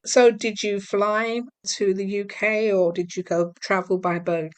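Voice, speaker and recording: Smooth-voiced woman hosting an interview. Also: medium pitch, flat delivery, measured pace. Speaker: interview host. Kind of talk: interview questions.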